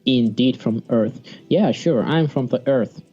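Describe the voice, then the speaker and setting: Dull-sounding, mellow man commentating. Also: medium pitch, a little slow, husky. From Sweden. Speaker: game commentator. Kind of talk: casual live strategy game commentary. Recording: dull lo-fi mic, music behind. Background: music.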